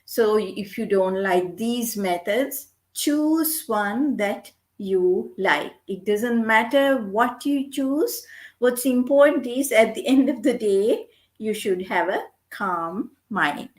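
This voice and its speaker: A middle-aged woman giving a gentle, earnest guest lecture on meditation, repeating her key points. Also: a little high, expressive, slow pace. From Sri Lanka. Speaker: guest lecturer, medical doctor.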